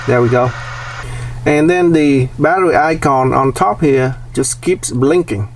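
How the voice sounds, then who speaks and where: A man's voice: mid-range voice, measured pace. A man narrates a hands-on how-to in a casual, plain way, going step by step. He sounds a little away from the microphone, with faint noise in the recording. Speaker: DIY tutorial host. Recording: distant mic, background noise.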